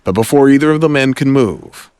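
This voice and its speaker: A man narrating an audio drama in a suspenseful style, with deliberate phrasing and dramatic pauses. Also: medium pitch, expressive, background noise. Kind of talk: dramatic scripted story narration.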